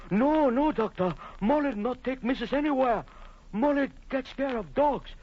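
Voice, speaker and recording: Very high-pitched timid servant. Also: male voice, animated, normal speed. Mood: eager apology. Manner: broken pidgin phrasing, third person about self. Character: timid, pleading servant speaking broken English. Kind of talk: old-time radio drama acting. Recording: muffled old radio broadcast, hissy.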